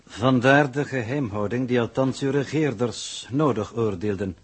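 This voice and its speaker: An actor playing a coolly superior, secretive scientist in a 1960s radio play, formal, precise and pedantic. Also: male voice, mid-range voice, normal speed, background noise, close to an everyday voice. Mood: condescending, detached.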